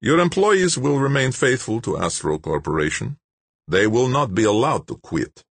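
A man's voice: medium pitch, very animated, moderate pace, breathy edge, no room sound, voice pushed up. A man voicing a condescending male official who lectures in a raised, haughty voice.

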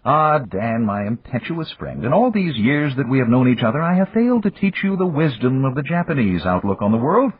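A man doing a round, unhurried old business magnate in a storybook reading, his voice lifted well above the reader's own and sweeping through courteous, lecturing phrases. The tone stays husky, and the sound is thin and phone-like. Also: medium pitch, sing-song, voice pushed up. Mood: measured, amiable. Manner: measured, courteous, gently lecturing. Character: older Japanese male industrialist, round, calm, philosophical. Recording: tinny, phone-like lo-fi.

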